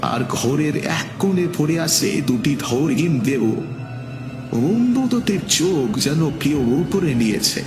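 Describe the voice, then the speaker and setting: Suspenseful man narrating over music. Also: fairly high pitch. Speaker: first-person storyteller. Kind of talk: dramatic scripted ghost story narration.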